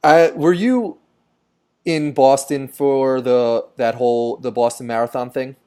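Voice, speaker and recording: A man's voice: medium pitch, a little slow, a little mellow, clean tone. A man from New York hosting a casual chat over a video call, rambling and filling his talk with "like". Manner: rambling, heavy on "like" fillers. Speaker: host.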